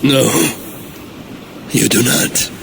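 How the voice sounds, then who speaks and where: An actor playing a grim, stubborn warrior god and father in a video game, gravelly, terse and commanding. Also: medium pitch, fairly even intonation, extremely slow, noisy background, heavily acted. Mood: resolute. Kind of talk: dramatic video game cutscene acting.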